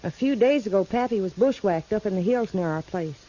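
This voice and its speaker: A woman's voice: medium pitch, lively intonation, a little fast, dark tone, lightly acted. An actress playing a stubborn, sassy young mountain girl with an American Southern mountain accent in a 1950s radio western. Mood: blunt, determined. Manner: blunt, sassy, backwoods. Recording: muffled vintage radio recording.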